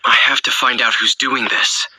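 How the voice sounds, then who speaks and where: A man's voice: mid-range voice, little pitch movement, moderate pace, slightly rough, no echo, low-quality recording. A man playing an earnest, forgiving young man in a video game, speaking tentatively and sincerely. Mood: forgiving, gentle. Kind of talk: dramatic video game voice acting. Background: loud background music.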